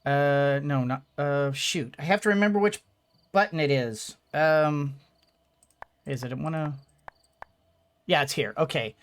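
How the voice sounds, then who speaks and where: A game streamer talks casually at a very slow pace in a middling pitch. The delivery rambles, as if thinking aloud while playing. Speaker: let's play streamer.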